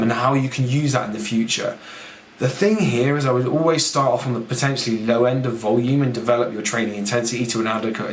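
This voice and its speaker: A young man with a husky, fading voice, a fitness coach hosting a casual podcast who rambles, mocks himself and talks straight to the listener. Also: mid-range voice. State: husky, losing his voice. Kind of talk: casual podcast talk, teaching. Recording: echoey room with faint background noise.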